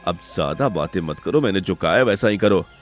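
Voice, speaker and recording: A man's voice: low voice, animated, fairly quick. Cartoon moral tale: male actor as cruel, scheming elder brother, stern orders, very varied intonation, music underneath. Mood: stern, commanding. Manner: stern, commanding. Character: domineering, scheming older brother turned cruel master. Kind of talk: Hindi animated moral story acting.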